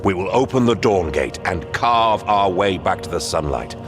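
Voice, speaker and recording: A wounded old zealot commander for a game cutscene. His very deep voice rises and falls widely as he speaks in stern, formal commands. Game music plays clearly behind him. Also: lively intonation, moderate pace. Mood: solemn. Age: older. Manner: solemn, commanding, grandly formal speech. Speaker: zealot commander of the vault defenders. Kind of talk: video game cutscene voice acting.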